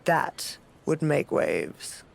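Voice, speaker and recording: A woman's voice: sits low, big pitch swings, very slow, creaky, full character voice. A woman playing a cocky, rebellious champion wrestler in a video game cutscene, speaking with dry, teasing self-assurance. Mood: dry, confident.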